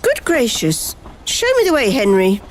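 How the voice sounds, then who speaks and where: A woman playing a brisk, clinical lady doctor in an amateur 1930s mystery radio play. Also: fairly high pitch, wildly swooping pitch, crowd noise. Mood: startled. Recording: clearly noisy amateur recording.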